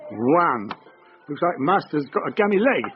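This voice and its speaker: A man's voice: fairly high pitch, sing-song, measured pace, soft background music. An actor playing a genial, elderly English father, ex-Navy, chatty and dryly joking in a naturalistic radio drama. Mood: playful, dry. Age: older. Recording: dull lo-fi radio broadcast, clear noise.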